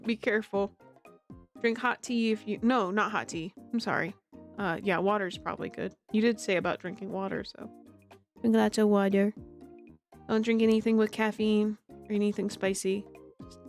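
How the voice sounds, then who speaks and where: A woman chatting casually on a game livestream, giggly, rambling and self-deprecating, speaking close to the microphone. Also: mid-range voice. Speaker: livestreamer, amateur voice actor. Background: music.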